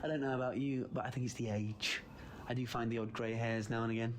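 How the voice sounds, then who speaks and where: A man's voice: medium pitch, steady tone, fast talker, slight background noise, lightly acted. A man with a British accent playing a wry, confident time traveler in a sci-fi audio drama, theatrical and flippant. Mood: playful. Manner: flippant, theatrical, swinging from playful to grave.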